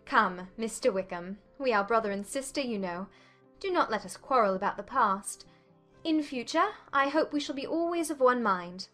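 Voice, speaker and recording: A woman's voice: on the high side, expressive, moderate pace, sharp tone, faint music behind, voice pushed up. A woman voicing a witty, poised young lady in a dramatized audiobook, arch and composed. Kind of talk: dramatized audiobook character acting.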